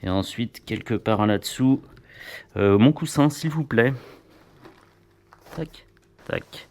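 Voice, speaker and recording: A man's voice: medium pitch, expressive, slow, darkish tone. A man reviewing motorcycle gear in a casual, chatty way, with playful little asides.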